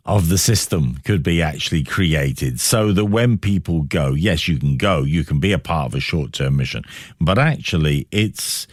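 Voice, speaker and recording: A man hosting a radio interview, speaking in deliberate, building phrases with rhetorical questions and repetition. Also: very deep voice, dry recording, studio quality. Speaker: radio host.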